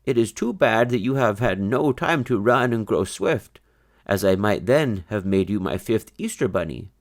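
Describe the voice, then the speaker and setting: Man playing a kindly, animated old grandfather rabbit. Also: lowish voice. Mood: gently regretful. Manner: gentle, measured, grandfatherly. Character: kind, wise old grandfather rabbit, benevolent.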